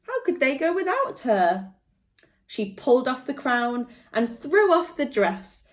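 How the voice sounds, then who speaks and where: A woman reads a storybook for young children. Her voice sits fairly high and she takes her time, shaping each phrase expressively. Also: very animated, measured pace.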